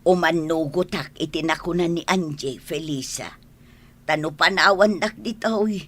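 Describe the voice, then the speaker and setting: A woman playing a fretful, protective older housemaid in a radio drama, excitable and coaxing. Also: fairly low pitch, measured pace. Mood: worried, eager. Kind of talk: Ilocano radio drama acting.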